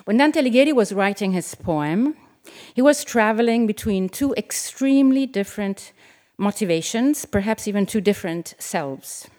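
An Italian woman, a novelist, reading her essay aloud at a live event in a measured, reflective way, with the odd aside. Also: mid-range voice, expressive, a little mellow. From Italy. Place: event venue with an audience.

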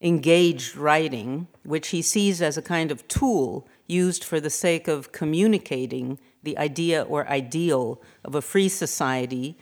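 A middle-aged woman, a writer and academic reading a prepared lecture in a measured, formal way. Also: low-pitched, darkish tone. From Hungary. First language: Hungarian.

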